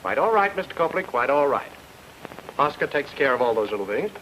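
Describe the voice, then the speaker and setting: A breezy, wisecracking private detective in an old radio drama. He talks quickly and glibly, with a lively swing in his pitch. The vintage recording is clearly noisy. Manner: glib, flippant.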